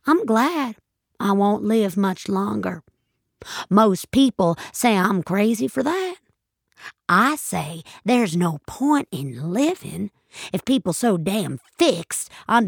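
A woman's voice: mid-range voice, vocal fry, slightly rough, no echo, clean studio sound, exaggerated voice. A woman voicing a blunt, gloomy old country grandmother in an audio story. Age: older. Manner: folksy, blunt, fatalistic musing.

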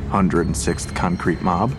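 Male detective, weary, curt, creaky low voice, sardonic, audio drama, music behind. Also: steady tone, unhurried. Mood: pondering.

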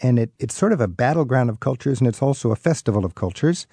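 A man's voice: mid-range voice, lively intonation, fast talker, warm tone, husky, dry recording, studio quality. A man with an American accent hosting a radio interview, asking earnest, conversational questions. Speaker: radio host.

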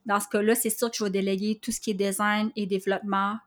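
A woman with a Québécois French accent, chatting as a podcast guest, animated and full of fillers. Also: medium pitch, fast talker. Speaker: guest copywriter. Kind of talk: casual podcast conversation.

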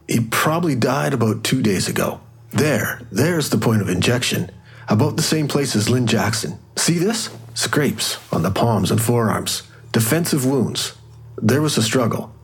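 Husky, animated medical examiner. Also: male voice, medium pitch, moderate pace, heavily acted. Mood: clinical. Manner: quirky, animated. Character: quirky, chatty, deadpan-morbid male medical examiner. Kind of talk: full-cast audio drama acting.

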